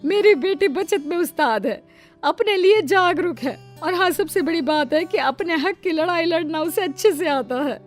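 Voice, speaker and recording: A woman voicing a boastful middle-aged mother in an animated moral story, proud and emphatic. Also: very high-pitched, normal speed, faint music behind.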